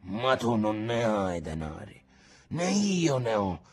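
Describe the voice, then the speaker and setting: A weary old fisherman grandfather, voiced by a man reading a novel aloud. He speaks low, slow and subdued, a tired pleading voice. Faint music sits behind him. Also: very animated, darkish tone, slightly rough, heavily acted. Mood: resigned. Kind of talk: audiobook character reading.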